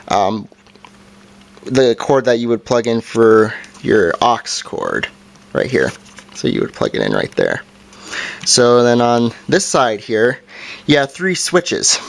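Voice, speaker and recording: Male reviewer, mid-pitched voice, informal and halting, walking through a gadget's features. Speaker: product reviewer. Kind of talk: casual hands-on review.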